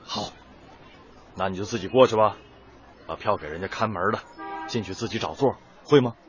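An actor playing a caring but pushy young male teacher in a radio drama, brisk, blunt and urging. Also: fairly low pitch, lo-fi sound, some chatter behind, slight background noise, natural voice.

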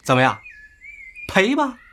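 A bullying street thug in a radio drama, leering and taunting. His voice runs high and swings widely as he blusters and threatens, with faint noise in the recording. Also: wildly swooping pitch, a little slow, fairly bright tone, full character voice. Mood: smug, leering.

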